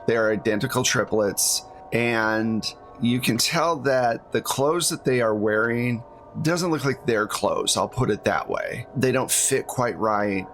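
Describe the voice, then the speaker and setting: A man's voice: medium pitch, fairly bright tone. Man running a tabletop game, music behind. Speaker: game master. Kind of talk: casual tabletop game narration.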